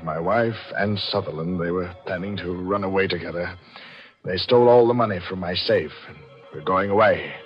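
A man's voice: low voice, moderate pace, lightly acted. An actor playing a cunning, cold-blooded man who narrates his own murder scheme in tense, dramatic 1940s radio style. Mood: grave confession. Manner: tense dramatic swings from hushed to frantic. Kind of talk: 1940s radio mystery acting. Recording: muffled old radio broadcast, music behind.

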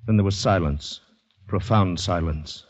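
An actor playing a panicky, polite, shell-shocked Canadian man who narrates his ordeal intensely and haltingly in a 1950s radio drama. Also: low-pitched, a little hiss or hum. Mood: fearful, hushed. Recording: dull vintage radio recording, music behind.

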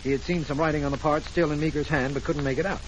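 Actor as fast-talking radio district attorney. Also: male voice, fairly high pitch, steady tone, lightly acted, poor audio. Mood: keen, thoughtful. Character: attentive, businesslike district attorney, detective's eager sidekick. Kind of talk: 1940s radio drama acting. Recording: old 1940s radio recording, faint noise.

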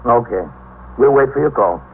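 A precinct police captain in a 1950s American radio drama. He is steady, courteous and businesslike, talking in measured phrases. The recording is thin and narrow, with faint hum underneath. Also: mid-range voice, creaky. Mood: courteous, concerned.

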